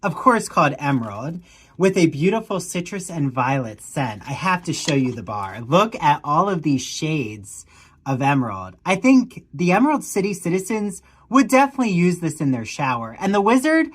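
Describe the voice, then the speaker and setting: A woman in her thirties co-hosting a podcast, reading sponsor spots in a gushing, animated way. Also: fairly low pitch, slightly rough, dry recording. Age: 30s. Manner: gushing, animated, with theatrical gasps. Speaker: podcast co-host. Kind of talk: casual chat with sponsor reads.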